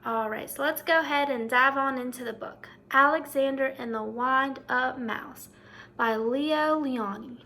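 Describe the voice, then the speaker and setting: A woman with a high voice reads a children's picture book aloud as a teacher would. She narrates and comments, addressing young listeners directly and prompting them to think. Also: a little slow, bright, forceful tone.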